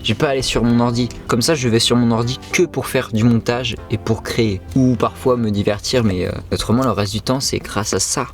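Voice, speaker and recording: A young man with a French accent, talking casually to camera in a vlog, relaxed and chatty with fillers and restarts. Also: mid-range voice, brisk, hardly any pauses, soft background music. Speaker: vlogger.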